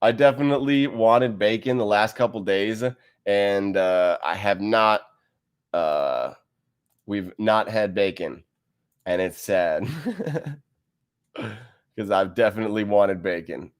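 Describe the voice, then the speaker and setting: A man's voice: lowish voice, takes it slowly, long pauses, clean tone. A man hosting a casual livestream from a wide room at home, close to a desk mic, rambling and laughing as he reads viewer comments. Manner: laid-back, rambling, laughs often. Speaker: livestream host.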